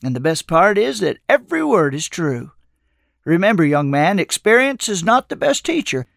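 Man voicing a teasing, gravelly old mentor. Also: sits high, very animated, normal speed, fairly bright tone, no room sound, clean studio sound, pitched above the performer's own voice, heavily acted. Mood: earnest, wise. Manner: playful, sage-like, teasing. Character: kindly, teasing old male wandering mentor.

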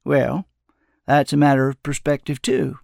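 A man voicing a kindly, calm old wanderer with an unhurried, soothing, folksy way of talking, as character dialogue in an audiobook. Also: medium pitch, vocal fry, dry recording, studio quality. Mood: gentle, probing.

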